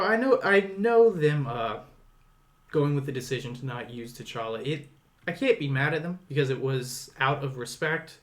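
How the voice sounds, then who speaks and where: A man hosting a podcast, talking casually about films in a conversational way. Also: medium pitch. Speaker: podcast host. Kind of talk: casual film discussion.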